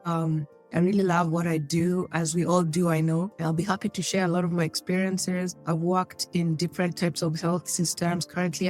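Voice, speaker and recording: A woman from Kenya, speaking as a physician on a panel over a video call in a warm, steady way. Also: on the low side. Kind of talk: casual professional talk.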